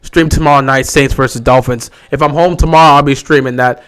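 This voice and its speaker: A young man with a sore, hoarse voice, giving casual live commentary on a football game he is streaming, resigned and frustrated. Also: mid-range voice, fairly even intonation, a little fast, a bit bright. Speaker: livestream host.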